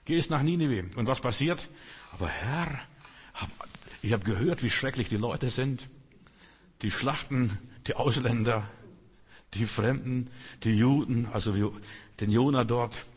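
Casting: non-native male preacher, husky voice, chatty delivery, echoey church hall. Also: medium pitch, unhurried, long pauses. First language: not German. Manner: conversational preaching, frequent "verstehst du?" asides. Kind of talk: sermon.

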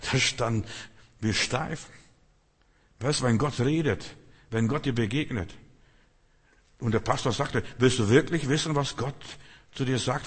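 Guy preaching, german's not his first language, kinda husky, big echoey church hall, keeps asking "verstehst du?". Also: medium pitch. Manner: conversational preaching, frequent "verstehst du?" asides. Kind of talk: sermon.

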